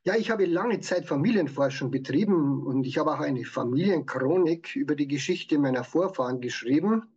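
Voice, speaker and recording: A man's voice: on the high side, expressive, normal speed, few pauses, a little mellow, husky, dull audio. A man with a Bavarian accent, explaining things in prepared answers as an author being interviewed. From Bavaria, Germany. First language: Bavarian dialect.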